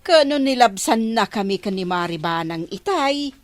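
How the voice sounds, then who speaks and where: A woman's voice: mid-range voice, animated, normal speed, dry recording, natural voice. A woman playing a chatty, gossipy female village councilor in a comedy drama. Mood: conversational.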